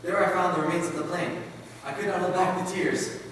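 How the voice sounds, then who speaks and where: A man's voice: high voice, steady tone, fairly quick, fairly bright tone. A man playing the grieving grown son of a slain missionary, telling his story earnestly in a stage recital. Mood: anguished. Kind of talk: dramatic stage recital, reader's theater. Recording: very echoey hall from far off, faint noise.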